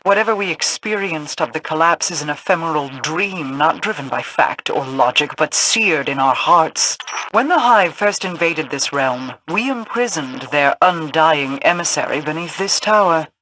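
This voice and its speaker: A regal, imperious queen, bright-voiced. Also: low voice, lively intonation, normal speed, a little hiss or hum, low-quality recording. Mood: solemn, cool. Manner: formal, solemn, imperious. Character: regal, aloof, commanding queen of a fallen realm. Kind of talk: scripted video game dialogue.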